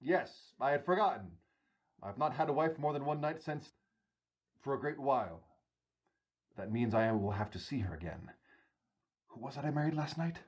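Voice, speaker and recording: An actor playing an irritable, imperious, proud sultan in a radio drama, with a pompous, blustering manner. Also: male voice, medium pitch, expressive, moderate pace, long pauses, breathy edge. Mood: weary, dismissive.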